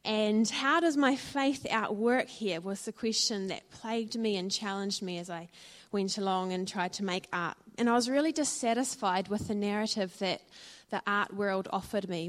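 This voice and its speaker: A woman's voice: medium pitch, normal speed, hardly any pauses. A woman with a New Zealand accent, probably in her thirties, giving an earnest and reflective personal testimony to a church audience, with frequent ums and uhs. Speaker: church speaker sharing her story.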